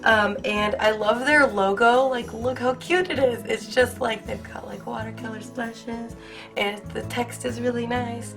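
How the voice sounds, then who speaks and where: Young female vlogger, a bit high-voiced, crackly from a cold, bubbly and chatty over faint music. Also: fairly even intonation, measured pace. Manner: bubbly, gushing, filler-heavy. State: hoarse from a cold. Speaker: art channel host. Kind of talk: casual unboxing review.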